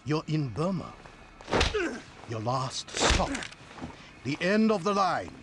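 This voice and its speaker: A man's voice: on the high side, very animated, slow, heavy background noise, heavily acted. A man playing a sadistic, gloating crime boss in a video game, menacing and theatrical as he savours his threats. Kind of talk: cinematic video game villain acting. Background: music.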